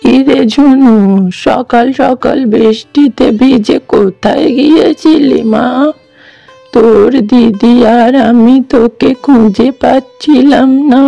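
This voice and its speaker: Slow, creaky old village grandmother. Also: high voice, fairly even intonation, dark tone, no room sound, muffled recording, faint music behind, heavily acted. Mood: concerned. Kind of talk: cartoon voice acting.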